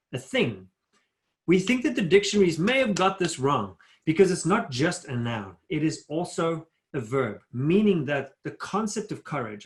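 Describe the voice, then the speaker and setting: Middle-aged man, guest speaker on a video call, earnest and emphatic, slightly higher pitch. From South Africa. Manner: earnest, emphatic, builds points in lists. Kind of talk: motivational talk at a virtual town hall.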